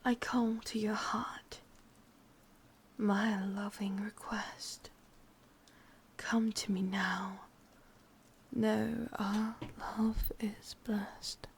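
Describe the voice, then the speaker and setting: A woman's voice: medium pitch, little pitch movement, very slow, creaky, pauses often, slight background noise. A woman with an English accent playing a sadistic, possessive yandere witch in an ASMR roleplay, mostly whispering in a coaxing yet commanding way. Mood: possessive, insistent.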